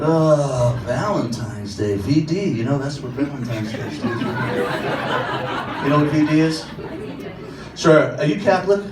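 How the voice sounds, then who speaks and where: Brash comic in a loud club. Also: male voice, on the high side, lively intonation, unhurried, pauses often. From Boston, Massachusetts. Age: older. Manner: brash, profane crowd work. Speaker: stand-up comedian. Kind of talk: stand-up comedy set. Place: comedy club with a crowd. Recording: roomy club audio, mic far off, loud crowd laughter. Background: loud audience laughter and club noise.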